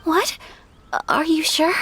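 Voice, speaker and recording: A woman voicing a polite, refined young noblewoman with a gentle, formal manner in an anime game. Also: sits high, fairly even intonation, takes it slowly, noisy background. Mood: hesitant, gracious. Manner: formal, courteous, well-mannered.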